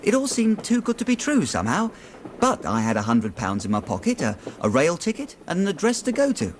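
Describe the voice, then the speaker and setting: A man acting in a period radio drama, playing an earnest, excitable young Victorian clerk with a British accent and a polite, eager way of telling things. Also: a little high, brisk, a little hiss or hum. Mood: uneasy, narrating.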